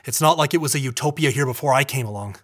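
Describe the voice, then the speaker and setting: A man's voice: mid-range voice, very fast, fairly bright tone, close to an everyday voice. Man acting a defensive, stubborn husband. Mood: exasperated, defensive. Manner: insistent, self-justifying. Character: defensive, stubborn husband pleading his case. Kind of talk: audio drama acting.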